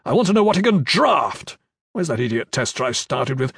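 A man's voice: medium pitch, sing-song, brisk, gravelly, fairly bright tone, slightly rough, no room sound, heavily acted. A man voicing a blunt, impatient military commander who talks in curt demands.

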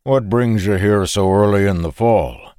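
A gruff, stout farmer, as a male narrator puts him on, deep-voiced and condescending. He talks loudly and bluntly, with a lively, swinging delivery in a dry, close sound. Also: takes it slowly, no echo. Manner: brusque, loud, fatherly.

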